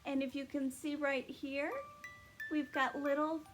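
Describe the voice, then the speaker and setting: A woman hosting a story time for small children, talking to young viewers in an animated, sing-song way. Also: high voice, unhurried, over music. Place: indoors in a library.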